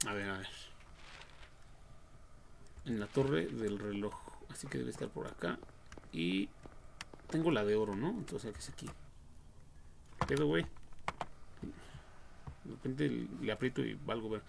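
Young mexican guy streaming a horror game, swears a lot, croaky voice, noisy home mic. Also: lowish voice, expressive, a little slow, pauses often. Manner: profane, slangy, reactive. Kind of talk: live horror game commentary. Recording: noisy home livestream microphone.

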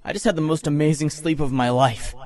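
Dreamy blissful guy played by a man, halting, lightish high voice, music under it. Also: darkish tone, natural voice. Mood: dazed, wondering. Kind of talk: audio drama acting. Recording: music behind the voice.

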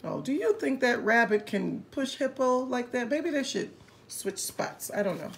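A woman reading a picture book aloud expressively, pausing to comment and address young listeners. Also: a little high, expressive, vocal fry.

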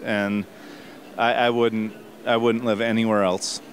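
A middle-aged man answering an interviewer's questions in a theater lobby, earnest and a little halting. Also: lowish voice, level tone. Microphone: handheld mic held by interviewer.

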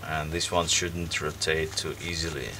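Low gravelly man talking while tinkering. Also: flat delivery, moderate pace. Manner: hesitant, many ums and restarts while working. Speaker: repair video host. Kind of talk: casual hands-on repair commentary. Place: workbench, indoors. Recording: distant mic with background noise.

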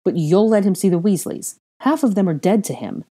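A woman's voice: medium pitch, lively intonation, a little fast, dark tone, lightly acted. A woman voicing a proud, hot-tempered young father in an audiobook, with a terse, confrontational manner and a growl. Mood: accusing. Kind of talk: acted audiobook dialogue.

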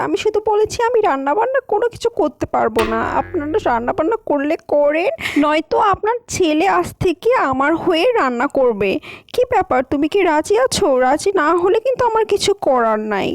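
An extremely high, dry cartoon voice of a young wife, acted by a woman. She is whiny and defiant. She complains with heavy emphasis, as a boastful, put-upon daughter-in-law would. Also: very animated, fairly quick, no echo, exaggerated voice. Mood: defiant, bossy. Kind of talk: cartoon voice acting.

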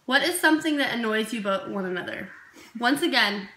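A woman in her early twenties chatting playfully with her sister in a vlog, reading out questions and hurrying things along with quick asides. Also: a little high, animated, sharp tone. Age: early 20s. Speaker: co-host sister. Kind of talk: casual chat. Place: indoor room, sitting side by side. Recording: echoey room with background noise.

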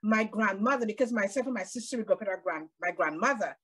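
A woman's voice: medium pitch, expressive, quick pace, a bit bright, slightly rough. A middle-aged woman from Guyana with a Guyanese accent, a jovial podcast guest chatting on a video call from a room at home. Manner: jovial, laughs often. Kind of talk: casual interview chat.

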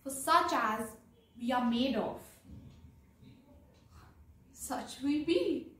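A young woman acting a bewildered, lovestruck heroine disguised as a man in a Shakespearean monologue, theatrical, with long pauses. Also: high-pitched, expressive, exaggerated voice. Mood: rueful pity. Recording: echoey room, distant microphone.

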